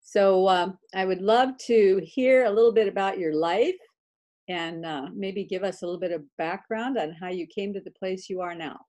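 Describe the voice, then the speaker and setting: A woman's voice: mid-range voice, normal speed, dark tone. A woman hosting a podcast, upbeat and welcoming as she opens the show and interviews her guest. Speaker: podcast host. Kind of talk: scripted intro then interviewing.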